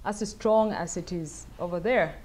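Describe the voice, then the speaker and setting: A middle-aged woman from South Sudan answering audience questions at a lectern, speaking thoughtfully with many pauses and fillers. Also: on the low side, darkish tone. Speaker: guest speaker. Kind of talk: lecture Q&A answers. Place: wood-paneled event room, at a lectern. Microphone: lectern mic.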